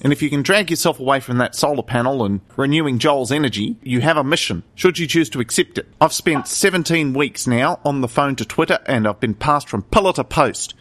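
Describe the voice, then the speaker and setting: A man's voice: medium pitch, brisk, few pauses, fairly bright tone, natural voice. A man voicing a self-important, bumbling and dismissive male preacher in an improvised comedy sketch. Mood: flustered, indignant.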